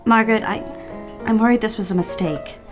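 A woman's voice: fairly high pitch, a little fast, music in the background, natural voice. A woman playing a naive, polite, eager young office girl in a period audio drama. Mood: flustered. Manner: polite, proper, apologetic.

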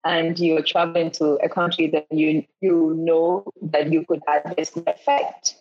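A woman from Ghana with a lowish, husky voice tells travel stories as a podcast guest. She gets animated, acts out the people she quotes and restarts her sentences often. The audio sounds dull and lo-fi. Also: moderate pace. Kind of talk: storytelling in a podcast interview.

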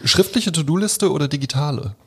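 Ein Mann moderiert ein Podcast-Interview, gesprächig und zugewandt, mit vielen bestätigenden Einwürfen. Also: male voice, on the high side, fairly quick, fairly bright tone, husky. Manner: friendly, chatty, lots of affirming fillers. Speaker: podcast host.

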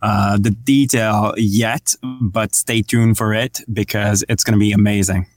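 A man's voice: on the low side, fairly even intonation, a little slow, no echo, soft background music. A man co-hosting a casual podcast and introducing a guest, with lots of fillers and frequent laughs. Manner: laughs often, many fillers. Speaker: podcast co-host and interviewer.

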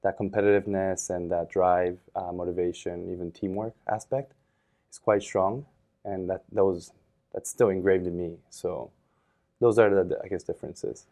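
A man in his thirties, a podcast guest, talking in a thoughtful, relaxed way with many fillers and restarts. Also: sits low, normal speed. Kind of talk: casual interview.

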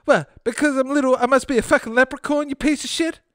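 A man's voice: very high voice, normal speed, dry recording, studio quality, pitched above the performer's own voice. A man voicing a tiny, foul-mouthed, indignant fat man in a comic story, with a bad put-on Irish accent. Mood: indignant, mocking. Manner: sweary, pleading and shouting. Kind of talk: comic short-story reading.

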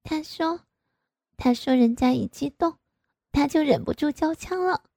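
A woman's voice: sits high, a little slow, creaky, warm tone, no room sound, clean studio sound, voice pushed up. A woman voicing a pouty, coy young married woman who wheedles in a raised voice. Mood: wheedling. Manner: pouting, wheedling.

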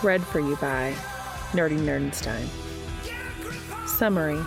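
A woman's voice: low-pitched, a little slow, gravelly, music in the background. A woman reading scripted fiction as a podcast host and narrator.

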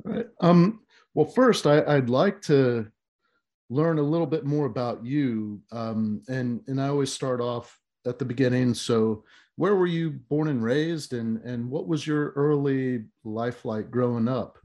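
A man's voice: mid-range voice, a little slow, a little mellow. A man hosting a podcast interview, asking questions hesitantly with many fillers. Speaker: podcast host.

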